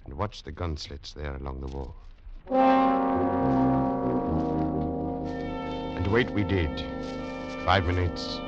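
An actor playing a grave, commanding French Foreign Legion major in old radio drama style, with clipped dramatic delivery. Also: male voice, sits low. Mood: urgent, commanding. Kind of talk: 1940s-50s radio drama acting. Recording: dull old radio recording with music.

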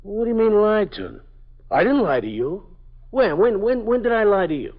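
An actor playing a nervous, evasive small-time parolee who stammers and pleads, in a 1950s radio drama. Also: male voice, sits high, sing-song, moderate pace. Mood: indignant. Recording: dull lo-fi old radio broadcast.

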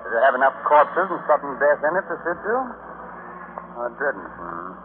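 A man's voice: mid-range voice, very animated, normal speed, natural voice. An American man playing a ghoulish, tongue-in-cheek host of a 1940s radio horror show, sardonic and teasing in his mock-sinister delivery. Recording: noisy, tinny old radio broadcast.

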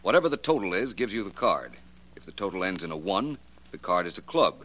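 A confident radio detective of the 1950s. His American voice is low and bright, with highly animated intonation. He is wry, assured and likes to needle. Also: quick pace, exaggerated voice. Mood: cool, explaining. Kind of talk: 1950s radio crime drama acting.

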